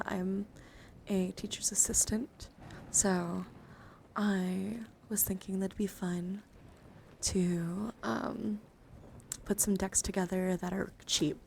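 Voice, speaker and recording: A woman streamer chatting in a soft-spoken ASMR style, hushed and often slipping into a whisper, close to the microphone. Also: medium pitch, creaky, slight background noise. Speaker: livestreamer.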